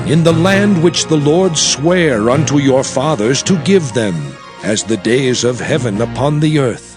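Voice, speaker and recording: A man playing a solemn prophet exhorting his people in a measured, declamatory scripture drama. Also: fairly high pitch. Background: music.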